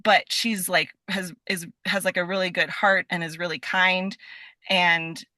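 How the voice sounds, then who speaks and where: A woman's voice: on the low side, flat delivery, unhurried, sharp tone, dry recording, clean studio sound. A woman being interviewed as a guest, chatting casually in a scattered, enthusiastic way and peppering her sentences with "like". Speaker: guest, voice actor.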